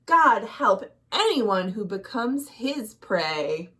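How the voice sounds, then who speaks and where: A woman's voice: mid-range voice, very animated, very slow, pushed and bright, full character voice. Woman acting an emphatic, serious village father. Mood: grave. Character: stern village father, cautious and worried.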